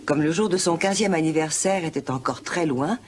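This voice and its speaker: A woman storyteller giving scripted fairy-tale narration for a children's animated film. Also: low-pitched, a little fast, slight background noise.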